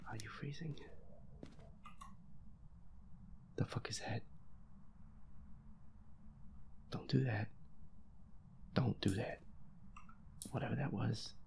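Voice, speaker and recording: A man playing a feisty boyfriend in a roleplay audio, muttering whiny, sweary complaints over a scary video game in a breathy half-whisper. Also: medium pitch, moderate pace, a little mellow. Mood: wary, scolding. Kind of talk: roleplay audio, improvised gaming chatter.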